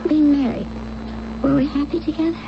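An American actress playing a fragile, dazed wife in shock, hesitant and childlike, in a 1970s radio drama. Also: female voice, sits high, steady tone, fairly quick, gravelly. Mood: dazed, fuzzy. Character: fragile dazed young-sounding wife, a psychiatric patient in shock. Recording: dull, noisy old radio recording.

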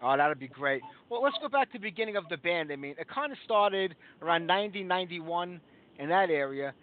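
Male radio host, quick and chatty, slightly raised pitch, thin phone-like sound. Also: animated. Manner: easygoing, chatty, with frequent fillers. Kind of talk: casual live interview chat.

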